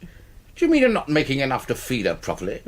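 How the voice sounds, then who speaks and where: A pompous old British colonel for radio drama. His voice is husky and sweeps widely up and down as he needles his guests in clipped, old-fashioned phrases. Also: medium pitch, huge pitch swings, brisk. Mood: testy, sardonic. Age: older.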